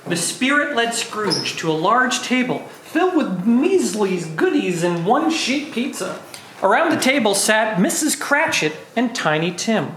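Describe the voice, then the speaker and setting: A man's voice: sits high, fairly bright tone. A man reading scripted narration for an amateur dramatic play reading. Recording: very echoey room, distant mic, faint noise.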